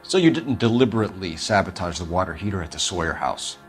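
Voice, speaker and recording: A man's voice: sits low, animated, quick pace, faint music behind. A man playing a stern police detective in a video game, questioning suspects in a blunt, accusatory manner. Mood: hard, accusing. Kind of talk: dramatic video game interrogation acting.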